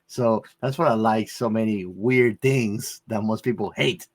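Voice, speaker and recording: A man chatting casually about film as a podcast panelist, speaking in an expressive, emphatic way. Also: fairly low pitch, unhurried.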